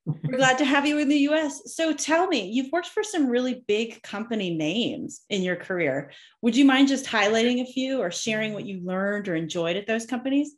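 Podcast host lady, upbeat, a bit higher voice, keeps cheering the guest on. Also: expressive, normal speed. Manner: upbeat, encouraging. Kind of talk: podcast interview.

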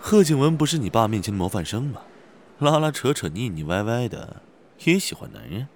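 A man's voice: medium pitch, big pitch swings, a little fast, a little hiss or hum. A man acting a gossipy, teasing young rich friend in an audio drama, with a casual way of talking. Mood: teasing, curious.

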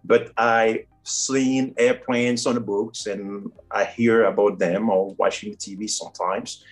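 A man's voice: lowish voice, fairly even intonation, slow, low-quality recording. A man in his forties from Cameroon with a Cameroonian French accent, answering interview questions earnestly with frequent fillers. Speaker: airline first officer, guest.

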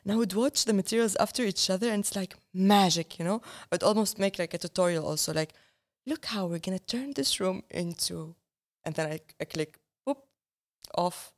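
A young woman, a filmmaker talking as the guest in a relaxed interview and often restarting as she searches for words. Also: mid-range voice, brisk. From Syria. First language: Arabic. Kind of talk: casual conversation.

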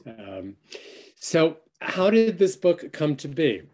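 A man's voice: a little high, sing-song, slow, a little mellow. Older guy with a stuffy nose, lots of ums, talking over a video call. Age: 60s. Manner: hesitant, many ums, self-deprecating. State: stuffy, nasal from a cold. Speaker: guest author. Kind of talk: virtual event talk.

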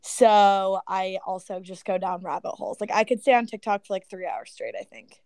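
A woman of twenty-one, a podcast guest, chatting relaxed with many "like"s. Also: medium pitch, normal speed, dry recording. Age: 20s. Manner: relaxed, uses "like" a lot. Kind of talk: casual chat. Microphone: remote call.

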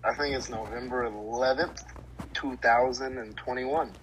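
A man's voice: medium pitch, steady tone, normal speed, a bit bright, slight background noise, rough recording. Laid-back joking man. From Decatur, Georgia. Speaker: interviewee, boyfriend. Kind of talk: casual interview answers.

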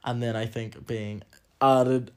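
A man's voice: medium pitch, little pitch movement, slow pace, smooth. A young man co-hosting a casual chat, talking loosely with many fillers and leading the questions. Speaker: podcast co-host.

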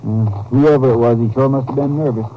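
An actor playing a folksy, puzzled country sheriff in a 1950s radio drama, with a hesitant, questioning manner. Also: male voice, medium pitch, brisk, lo-fi sound, close to an everyday voice. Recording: old radio recording with faint hum.

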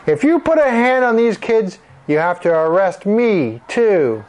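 A man voicing fiercely protective, defiant mothers in a storybook, shrill and emphatic. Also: sing-song, measured pace, a little mellow.

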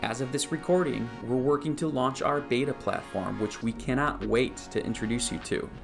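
A man's voice: mid-range voice, normal speed, creaky, music in the background. A man hosting an interview podcast, reading a scripted intro and then asking loose, restarting questions. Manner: restarts and repeats words in questions. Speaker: podcast host.

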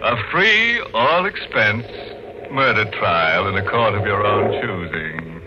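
Casting: morbidly gleeful male host of a 1940s radio chiller, slow, theatrical, wildly varied intonation. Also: fairly low pitch, animated, very noisy. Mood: gleefully morbid. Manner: theatrical, punning, mock-genial. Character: ghoulish, gleefully morbid, mock-genial male horror host. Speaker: horror radio show host. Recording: dull old radio recording, music behind.

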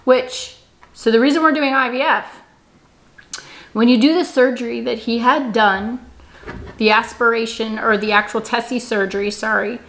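A woman in her thirties talks casually on her own video channel, chatty and quick to laugh. She often slips into quoting what other people told her. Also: fairly high pitch, steady tone, fairly bright tone, low-quality recording. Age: 30s. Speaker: channel host. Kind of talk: casual couple vlog chat.

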